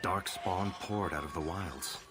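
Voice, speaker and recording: Wry dwarf storyteller, really deep voice, smooth n sardonic, video game, music under it. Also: male voice, a little slow. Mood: grave storytelling. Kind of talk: dramatic video game acting and narration. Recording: long reverb, loud music behind.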